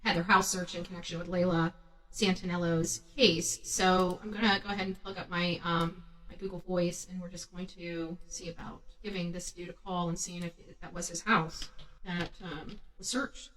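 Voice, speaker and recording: A woman's voice: lowish voice, little pitch movement, moderate pace, a bit bright, slight background noise. A woman talking casually to her viewers as an online creator. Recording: distant from the microphone.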